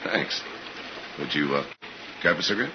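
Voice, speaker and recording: An actor in a 1950s radio drama playing a big, brooding New York policeman, a smooth schemer who talks in a terse, hard-boiled way. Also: very deep voice, lively intonation, moderate pace, background noise. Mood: soothing. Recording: muffled old radio recording with loud music.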